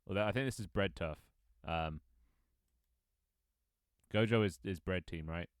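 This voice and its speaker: Deep guy in his 20s rambling on a dev stream, lots of ums, smooth voice. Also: unhurried, no room sound, studio quality. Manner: rambling, lots of ums and restarts, reads chat aloud. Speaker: game developer streaming his game. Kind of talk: casual live-stream chat.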